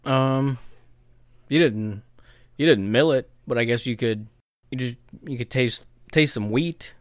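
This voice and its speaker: A man's voice: medium pitch, a little slow, no room sound, clean studio sound. A man co-hosting a podcast in a casual chat, cracking wry jokes. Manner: joking, wry asides. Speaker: podcast co-host.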